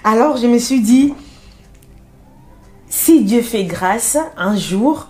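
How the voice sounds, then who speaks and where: A woman's voice: fairly high pitch, expressive, slow pace, a little mellow, faint music behind. A woman hosting a casual faith interview show, talking in a chatty way with her guest.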